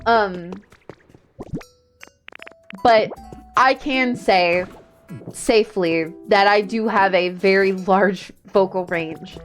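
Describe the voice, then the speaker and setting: Slow-paced chatty woman streamer over faint music. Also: medium pitch. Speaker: streamer, VTuber. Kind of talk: casual livestream chat while playing a video game.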